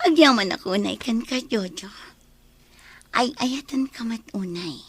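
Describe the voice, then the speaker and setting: A woman playing a tender young wife, sentimental and breathy, in an Ilocano radio drama. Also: on the high side, animated, takes it slowly, a bit bright, heavily acted. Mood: adoring. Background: music.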